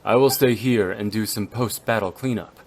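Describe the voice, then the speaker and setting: A man playing a stern, brooding immortal swordsman in an anime-style game, speaking formally and gravely. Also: lowish voice, moderate pace, darkish tone. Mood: grave, restrained.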